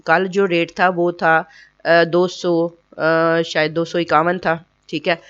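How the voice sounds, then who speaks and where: A woman with a sore, hoarse throat hosts a casual screen tutorial. She repeats points patiently and keeps checking in with her viewers. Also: lowish voice, muffled recording. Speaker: online earning tutorial host.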